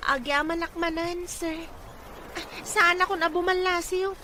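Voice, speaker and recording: An extremely high, small female voice with an Ilocano accent, a woman acting a meek and courteous young woman in a serial drama. The recording carries clear noise. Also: fairly even intonation, unhurried, pushed and bright, exaggerated voice. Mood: shy, apologetic. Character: meek, polite, wrongly accused young woman.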